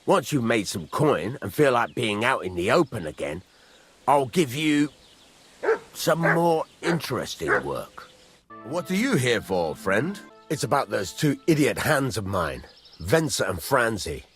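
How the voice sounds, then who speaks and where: An actor playing a blunt, earthy medieval blacksmith boss in a video game, with a rough voice. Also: male voice, mid-range voice, big pitch swings, unhurried, over music. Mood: businesslike. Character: gruff, plain-spoken medieval blacksmith boss.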